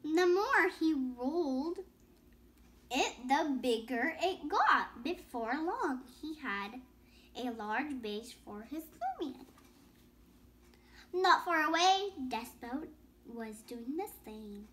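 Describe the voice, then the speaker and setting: A woman's voice: sits high, expressive, slow pace, a bit bright. A young girl reading a bedtime story aloud with casual explanatory asides, stumbling over words and rereading phrases. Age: child.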